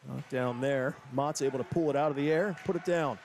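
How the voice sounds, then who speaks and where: A man calling hockey play-by-play, with quick, excited calls that rise to shouts. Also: medium pitch, a little hiss or hum. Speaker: play-by-play announcer. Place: ice rink broadcast booth. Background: loud arena music.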